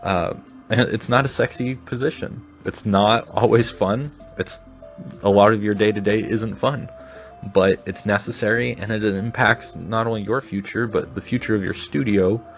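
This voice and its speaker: A man's voice: lowish voice, steady tone, normal speed, faint music behind. A man hosting his own solo podcast, talking reflectively and rambling a little with restarts. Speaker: podcast host.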